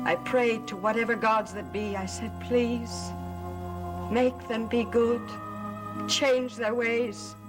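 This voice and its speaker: Witches' daughter: wounded but defiant, slow dramatic delivery, gothic soap with music underneath. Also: fairly high pitch.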